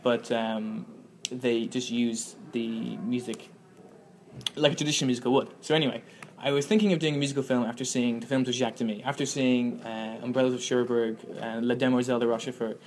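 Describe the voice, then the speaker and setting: A man's voice: mid-range voice, fairly even intonation, brisk. Rambling young man filmmaker. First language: Irish. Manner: rambling, many fillers and restarts. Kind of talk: interview answer.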